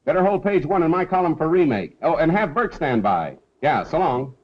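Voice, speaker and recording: A man's voice: fairly high pitch, sing-song, normal speed. A man playing a suave, flippant amateur sleuth and newspaper columnist, bantering wryly in 1930s film style. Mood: brisk. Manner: wry, bantering. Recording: dull, noisy 1930s film soundtrack.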